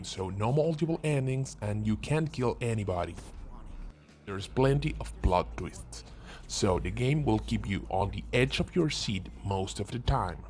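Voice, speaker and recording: A man hosting a scripted video with game recommendations, playful and emphatic on key words, with joking asides. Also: medium pitch. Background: background music.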